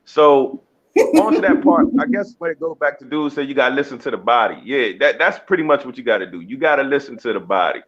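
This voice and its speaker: A man's voice: medium pitch, expressive, brisk, lo-fi sound. A man who is a comedy guest, bantering casually and bawdily in a cocky, slangy, repetitive way. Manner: cocky, slangy, repeats himself for emphasis.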